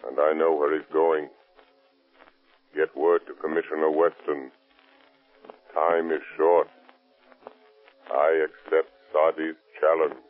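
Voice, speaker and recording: An actor playing a mysterious, mocking unseen avenger, commanding and sardonic, in 1930s radio drama style on a thin vintage recording. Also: male voice, a bass voice, phone-quality sound, exaggerated voice.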